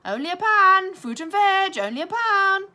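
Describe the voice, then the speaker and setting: Woman doing a loud market seller shouting out sales calls, high n sing-song, storybook style. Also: big pitch swings, moderate pace, full character voice. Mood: loud, hawking. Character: loud bellowing street market hawkers selling their wares.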